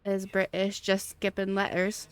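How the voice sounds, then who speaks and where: A woman live-streaming a game and chatting casually with viewers in a rambling, halting, giggly way. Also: mid-range voice, completely flat delivery, smooth.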